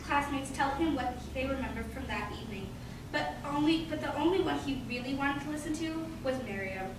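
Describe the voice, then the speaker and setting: A woman's voice: high-pitched, animated, a little fast. A woman narrating a radio play, recorded in an echoey room. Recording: very echoey room, distant mic, noisy.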